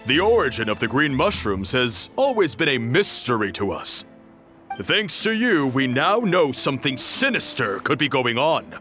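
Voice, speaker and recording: Kindly, very animated game tribesman. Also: on the high side. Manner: measured, expressive. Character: kindly, thoughtful adult tribesman, measured and encouraging. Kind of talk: video game voice acting.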